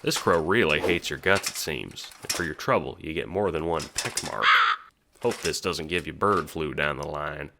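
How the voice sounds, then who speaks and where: Very deep-voiced man narrating audio drama. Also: moderate pace, background noise. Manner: sweary, sardonic.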